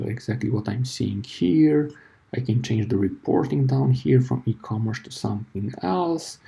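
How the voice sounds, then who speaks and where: A man with a dark, low-bright, gravelly voice teaches a software walkthrough. He talks casually and thinks aloud. He often restarts a phrase to fix a word. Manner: casual, explanatory, self-correcting, thinking aloud. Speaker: tutorial instructor. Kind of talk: screen-share software tutorial.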